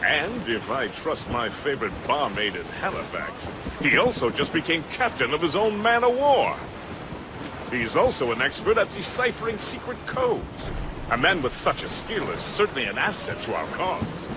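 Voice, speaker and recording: A jovial first mate for a video game. His voice is fairly high and bounces around as he chatters colourfully. Outdoor ambience is clearly audible behind him. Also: big pitch swings, normal speed, background noise. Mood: jovial, informative. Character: jovial, talkative seafaring male first mate. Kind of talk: video game cutscene acting.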